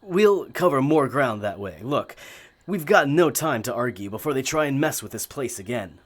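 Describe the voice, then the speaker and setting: An actor playing a polite, earnest gentleman magician in an audio drama, speaking courteously and a little formally. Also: mid-range voice, lively intonation, moderate pace, natural voice. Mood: urgent. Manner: courteous, slightly formal phrasing.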